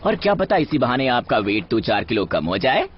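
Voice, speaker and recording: A man voicing a cocky, boastful young monkey hero in an animated film dub, excitable and cheeky. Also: mid-range voice, normal speed, slight background noise, close to an everyday voice. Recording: dull lo-fi audio under music.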